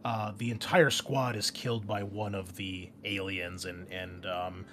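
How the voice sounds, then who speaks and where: Male game master, low voice, casual and halting explainer piecing things together from memory, some background noise. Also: little pitch movement. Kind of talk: casual explanation of a tabletop game's story.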